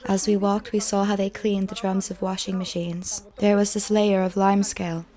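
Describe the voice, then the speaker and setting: A woman reading a scripted voice-over that translates an interviewee, in a flat, measured way. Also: mid-range voice.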